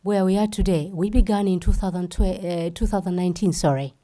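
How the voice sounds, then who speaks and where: A woman's voice: on the low side, fairly quick, mellow. A woman from Uganda, the founder of a festival, giving an impassioned speech and repeating phrases for emphasis.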